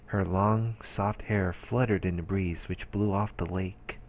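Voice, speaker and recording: A man's voice: low voice, steady tone, unhurried, a little mellow, muffled recording, a little hiss or hum. A man reading visual novel narration aloud as a let's player, in an earnest, dramatic storytelling way.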